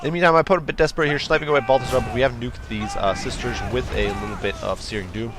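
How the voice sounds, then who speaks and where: A man commentating a strategy game battle in a quick, chatty way, his voice nasal from a cold. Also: mid-range voice, noisy background. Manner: quick, chatty, many fillers and restarts. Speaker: gameplay commentator.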